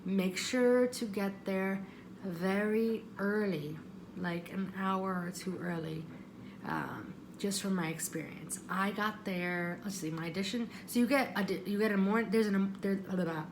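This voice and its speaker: A woman's voice: mid-range voice, measured pace, a little hiss or hum, poor audio. A woman giving casual, rambling advice, often interrupting herself and repeating phrases for emphasis. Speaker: vlogger giving audition advice.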